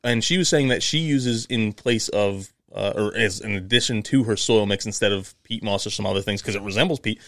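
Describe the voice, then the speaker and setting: A man's voice: fairly low pitch, a little fast, hardly any pauses, fairly bright tone, no echo, studio quality. A man co-hosting a podcast, easygoing in casual chat and steering the conversation to the next topic. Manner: easygoing, steers the talk, many uh fillers. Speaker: podcast co-host.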